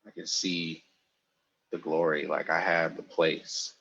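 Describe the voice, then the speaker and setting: A man with a deep voice shares his thoughts slowly and reflectively. The video call audio sounds dull and muffled. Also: little pitch movement, vocal fry, pushed and bright, slightly rough. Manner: reflective, plain-spoken, trails off. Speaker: participant sharing a reflection. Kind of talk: casual sharing.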